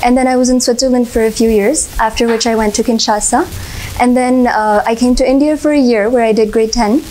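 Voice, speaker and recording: A young woman in her twenties with an American accent and a Hindi-speaking home, answering interview questions politely, often saying "sir" and pausing on "uh". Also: on the high side, fairly even intonation, a little mellow, slight background noise, low-quality recording. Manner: polite, says sir often, frequent uh fillers, light laughs. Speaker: interview candidate. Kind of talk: formal mock interview answers.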